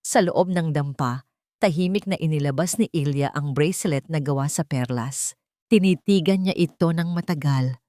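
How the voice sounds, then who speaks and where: A Filipino-accented woman tells a sentimental drama story from a script. Her voice sits on the low side for a woman. The sound is dry, with very little room around it. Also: moderate pace, warm tone, no room sound.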